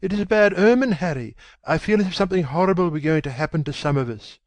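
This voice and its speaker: A man playing a sensitive, anguished young gentleman, brooding and fearful, in a dramatic audiobook reading. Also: sits high, expressive, quick pace, pitched above the performer's own voice. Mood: fearful foreboding. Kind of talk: dramatic audiobook character acting.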